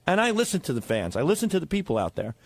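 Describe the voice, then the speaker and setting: A man's voice: on the high side, very animated, racing, vocal fry, no room sound. A man who works on a radio show, arguing off the cuff in a defensive, profane way with stammered repetitions. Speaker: radio show staff member and performer. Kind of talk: unscripted group argument on a talk show.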